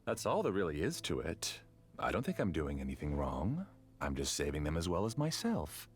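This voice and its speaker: Brief: low-voiced grieving scholar, animated yet calm, with soft game score behind. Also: male voice, normal speed, heavily acted. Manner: calm, self-justifying. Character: obsessed grieving male scholar, calm villain. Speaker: game character. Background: game music.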